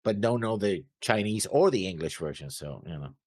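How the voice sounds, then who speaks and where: Man, low husky voice, lively pitch, defensive and hedging, casual banter. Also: normal speed, no echo, clean studio sound. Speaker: reaction show co-host. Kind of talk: casual banter reacting to anime.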